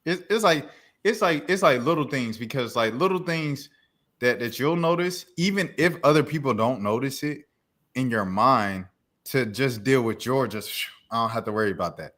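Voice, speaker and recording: A man's voice: medium pitch, normal speed, fairly bright tone. A young man co-hosting a podcast, talking in a loose, rambling, slangy way with many restarts. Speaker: podcast co-host. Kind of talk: casual chat.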